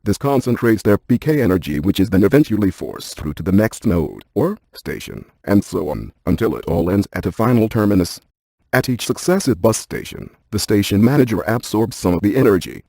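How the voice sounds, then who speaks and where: A cautious, intellectual ghost-hunting scientist for a video game. The voice is low and mellow with a husky, gravelly grain. He stays deadpan and exact, as if reading out instrument data. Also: fairly quick, no room sound, clean studio sound. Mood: explaining, cautious. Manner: deadpan, precise, technical. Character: brainy, deadpan paranormal scientist man, cautious and precise. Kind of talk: scripted video game character lines.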